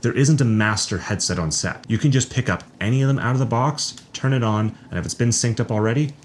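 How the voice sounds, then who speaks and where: A man's voice: medium pitch, a little fast, a little hiss or hum. A man who works as a sound recordist, narrating a scripted product review.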